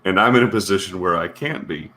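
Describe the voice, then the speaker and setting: A man chatting as a guest on a live stream, halting and restarting often, his voice a little gravelly and congested from a sinus infection. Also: sits low, pushed and bright. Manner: hesitant, halting with repeated starts. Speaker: guest co-panelist, content creator. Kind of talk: casual live stream chat.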